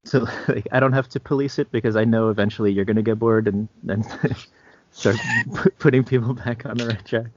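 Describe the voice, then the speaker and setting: A man co-hosting a podcast, chatting casually in loose, hesitant phrases with many pauses. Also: mid-range voice. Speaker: podcast co-host. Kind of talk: casual chat. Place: podcast recording. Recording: dull lo-fi, very dry sound.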